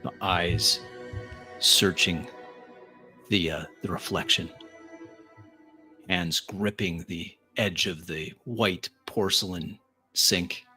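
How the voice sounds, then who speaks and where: A man narrates his character's actions in a tabletop roleplay with a low, bright-edged voice. His delivery is slow, deliberate and brooding, broken into short phrases. Faint music plays behind him. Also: fairly even intonation. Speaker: roleplay player. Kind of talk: first-person dramatic roleplay narration.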